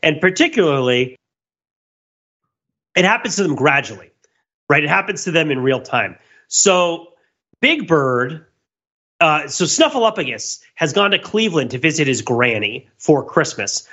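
A man with a bright, slightly high voice talks as a podcast host. He rambles through comic retellings in an animated, casual way, wandering off on tangents. The recording is a little dull and lo-fi. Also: husky. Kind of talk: casual comedic pop-culture discussion.

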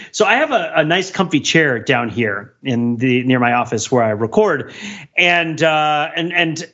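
Wry, higher-voiced man on a dull mic. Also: a bit bright. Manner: wry, self-deprecating, digressive. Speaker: podcast co-host. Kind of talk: casual conversational podcast. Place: near his home office.

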